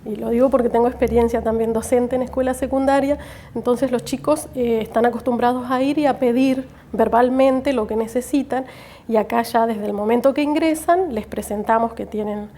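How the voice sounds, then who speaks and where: A woman's voice: on the high side, steady tone, normal speed, mellow, slight background noise. A woman with an Argentine accent, explaining her library work in an interview. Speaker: library staff interviewee, teacher.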